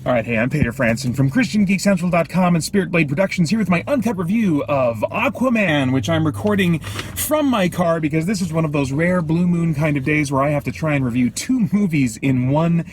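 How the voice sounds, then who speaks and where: A man with a voice a little higher than average hosts a casual movie review. He speaks off the cuff, haltingly, often stepping aside from his point. He is recorded in a car, with faint noise behind him. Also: expressive. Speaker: film reviewer and host. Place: inside a car. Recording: light background noise inside a car.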